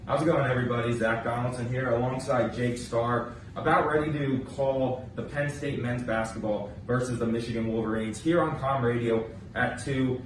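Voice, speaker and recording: Young male sports broadcaster, medium pitch, pregame intro, heavily reverberant and off-mic. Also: steady tone, normal speed, hardly any pauses. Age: young adult. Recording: very echoey, distant, some background noise.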